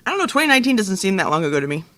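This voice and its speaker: A man co-hosting a podcast in casual chat, talking in a hesitant, halting way. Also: high-pitched, sing-song, pushed and bright. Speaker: podcast co-host.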